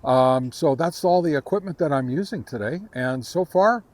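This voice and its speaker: A man hosting a casual on-location gear test, talking to the camera in a halting, conversational way. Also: on the high side. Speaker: radio hobbyist reviewer and host. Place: outdoors, on a back deck and in a park.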